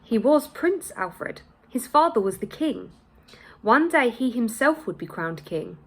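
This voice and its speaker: Woman, teacher, reading a children's book aloud, dramatic pauses, very lively intonation. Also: fairly high pitch, husky, low-quality recording. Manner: expressive storytelling with dramatic pauses and shifting pace.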